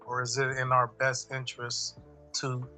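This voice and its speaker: A man from the audience asking the panel a question, hesitant and halting. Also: mid-range voice, completely flat delivery, bright, forceful tone, soft background music. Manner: hesitant, with many pauses and fillers.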